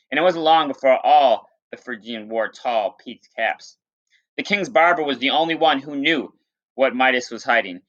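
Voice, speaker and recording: A man's voice: medium pitch, sharp tone. A man reading a story aloud like a teacher, with informal, instructive asides to his listeners. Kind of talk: read-aloud storytelling with plain explanatory asides. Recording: dull, lo-fi sound.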